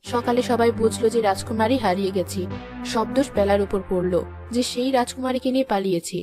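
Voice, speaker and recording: A female narrator tells a scripted fairy tale in a storytelling style. Music plays clearly behind the voice. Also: on the high side, a little fast.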